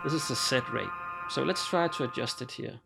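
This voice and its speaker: Gravelly-voiced man explaining a synth patch. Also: medium pitch. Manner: relaxed, halting with frequent ums and uhs. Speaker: synthesizer demonstrator. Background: synthesizer music.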